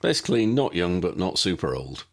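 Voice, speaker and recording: A man voicing an impulsive, jokey, excitable young man in an audiobook. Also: sits low, brisk, heavily acted. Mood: insistent.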